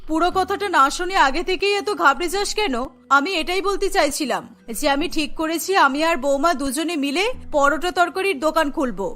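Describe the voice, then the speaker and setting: A woman's voice: sits high, fairly quick, fairly bright tone. A woman voicing a caring, firm older mother-in-law in a cartoon, earnest and admonishing, practical about money. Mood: firm, reassuring. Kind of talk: Bengali cartoon dialogue. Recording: music behind the voice. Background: music.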